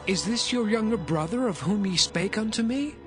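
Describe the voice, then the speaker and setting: An actor playing a solemn, commanding Egyptian governor with a tender side in a dramatised scripture reading. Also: male voice, sits high, lively intonation, normal speed, music in the background. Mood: earnest questioning.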